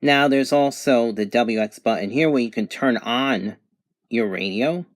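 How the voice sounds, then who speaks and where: A man's voice: mid-range voice, a little slow, dry recording. Matter-of-fact man reviewing a gadget, dry sound. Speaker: product reviewer. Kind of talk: unscripted product demonstration.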